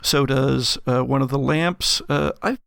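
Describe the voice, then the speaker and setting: Halting male game master, slightly creaky voice. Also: medium pitch, a bit bright, no room sound, clean studio sound. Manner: halting, searching for words mid-description. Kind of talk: improvised tabletop game narration.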